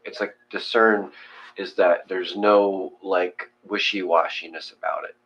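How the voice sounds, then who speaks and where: A man in a casual, reflective discussion, thinking aloud in a halting way and trailing off. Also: sits low, steady tone, a bit bright. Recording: muffled, with faint hum.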